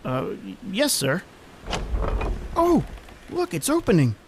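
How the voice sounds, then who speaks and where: A man's voice: high-pitched, wildly swooping pitch, takes it slowly, creaky, background noise, exaggerated voice. A man playing a nervous, deferential manservant. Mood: anxious. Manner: anxious, obedient. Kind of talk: old-time radio horror acting.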